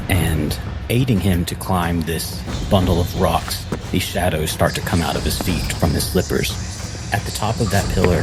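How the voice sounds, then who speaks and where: A man's voice: low voice, poor audio. A man playing a tabletop role-playing game, narrating his character's moves.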